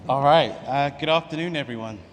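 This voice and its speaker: A man's voice: mid-range voice, brisk. A man with a London accent moderating a conference panel, speaking informally to introduce the session. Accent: London English. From London, England. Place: large conference hall on stage. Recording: echoey hall PA, noticeable noise.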